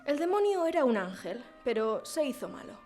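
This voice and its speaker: A woman's voice: high voice, very animated, fairly quick, a little mellow, soft background music. A woman playing a pious, plain-spoken village mother in a radio drama, matter-of-fact and sure of herself. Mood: assured.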